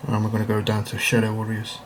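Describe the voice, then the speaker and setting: A man with a low-pitched voice walks through a practical demonstration in an informal, step-by-step way. He explains each part plainly, as the host of a how-to video. A noticeable layer of noise sits behind his speech. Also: monotone, fast talker. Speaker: video host demonstrating a retro computer repair. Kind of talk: informal hands-on tutorial narration.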